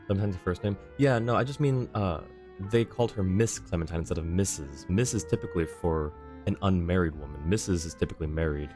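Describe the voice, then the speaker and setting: A man's voice: low-pitched, quick pace, darkish tone. A man casually commentating on a game livestream, musing aloud and sometimes trailing off. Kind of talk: casual game stream commentary, reading dialogue choices aloud. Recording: game music behind the voice.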